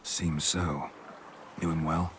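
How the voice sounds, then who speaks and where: An actor playing a stoic, gruff monster hunter in a video game, with a clipped, deadpan delivery in a gravelly growl. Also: male voice, rumbling low, takes it slowly, slight background noise. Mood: businesslike. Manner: clipped, deadpan, drops subjects from sentences. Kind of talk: video game cutscene acting.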